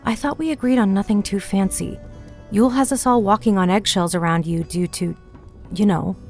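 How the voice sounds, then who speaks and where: Casting: actress as inquisitive female confidante in fantasy audio story, heavy vocal fry, teasing, music underneath. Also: medium pitch, moderate pace, darkish tone, natural voice. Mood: curious, hushed. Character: Helena, curious, teasing confidante and friend.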